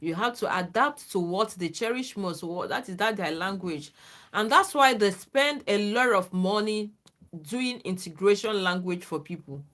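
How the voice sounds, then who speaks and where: A woman's voice: medium pitch, expressive, moderate pace, a bit bright. A woman, not a native English speaker, hosting a livestream and giving earnest, casual advice to viewers, often asking "okay?" to check they follow. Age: adult, former lecturer. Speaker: livestream host.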